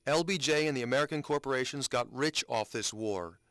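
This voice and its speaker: Guy doing a blunt indignant war critic, middle pitch, dramatic reading, no room echo. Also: a little fast, a bit bright, clean studio sound, close to an everyday voice. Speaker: reader. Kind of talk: dramatic reading of written commentaries.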